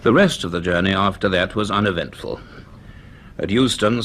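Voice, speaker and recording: A British scientist and professor in a radio drama. His voice is low and he speaks with measured, deliberate authority, earnest and commanding. There is a light layer of noise on the recording. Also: a bit bright. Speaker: actor playing the lead and narrator. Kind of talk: radio drama dialogue and first-person narration.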